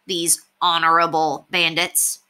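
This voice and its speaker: A learned, needling fox from a storybook, played by a woman reading aloud. He is haughty and dignified, lecturing formally in a very bright, forward voice. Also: low-pitched, flat delivery, slow pace, clean tone, exaggerated voice.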